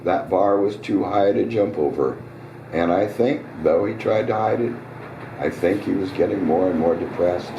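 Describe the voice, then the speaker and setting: A hoarse man with a very low, mellow voice reads his own story aloud in a relaxed, chatty way. He sounds a bit far from the mic, in a roomy restaurant with faint ambience behind him. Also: normal speed, slight background noise. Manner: relaxed, conversational storytelling with small asides. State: hoarse, voice getting raspy. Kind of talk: live reading of a short story. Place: restaurant, at a live reading. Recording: roomy, distant restaurant recording.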